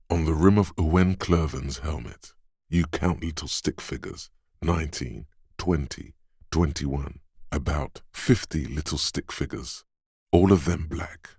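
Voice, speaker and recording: A man voicing a watchful, detached inner sense of perception in a game, describing details in a slow, measured way with a deep, husky voice. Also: no echo, clean studio sound, heavily acted. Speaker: voice actor for a game character. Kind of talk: scripted game voice lines.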